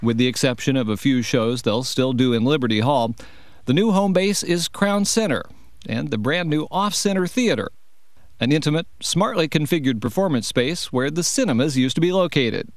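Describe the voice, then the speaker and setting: A man's voice: medium pitch, moderate pace, dry recording. A man reading scripted narration as a television reporter. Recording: dry voice-over, faint audience behind.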